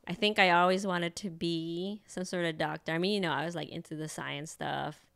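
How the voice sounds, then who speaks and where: A woman talks loosely on a podcast. She rambles along, full of fillers and small laughs, in a smooth, resonant voice pitched on the low side. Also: steady tone, normal speed, a bit bright. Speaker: acupuncturist podcast co-host. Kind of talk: casual chat.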